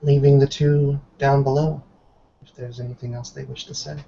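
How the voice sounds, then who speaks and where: A man's voice: mid-range voice, little pitch movement, moderate pace, creaky, dark tone, slight background noise. A man narrating the action of a tabletop roleplay game. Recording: dull, lo-fi online call.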